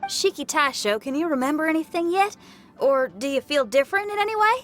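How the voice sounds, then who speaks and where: A woman's voice: high-pitched, lively intonation, a little fast, soft background music. A woman playing a spirited, friendly young rock musician in an anime game, upbeat and easygoing. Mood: breezy, curious.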